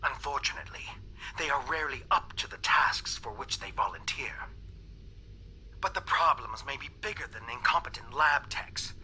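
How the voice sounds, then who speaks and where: An actor playing an earnest, worried alien reptilian scientist in a video game, speaking formally and with grave, articulate care. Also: male voice, mid-range voice, moderate pace, pushed and bright, in a whisper, low-quality recording. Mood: frustrated, worried. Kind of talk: scripted video game voice acting, monologue message.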